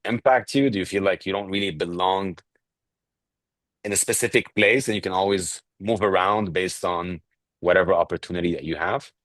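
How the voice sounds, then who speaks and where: A man's voice: low-pitched, normal speed, fairly bright tone, dry recording. A man hosting an interview, asking questions in a curious, casual and conversational manner. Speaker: interview host.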